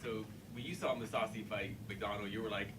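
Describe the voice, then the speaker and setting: A man's voice: on the low side, fairly even intonation, fairly quick. A male reporter asking casual, conversational follow-up questions. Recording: distant mic with background noise.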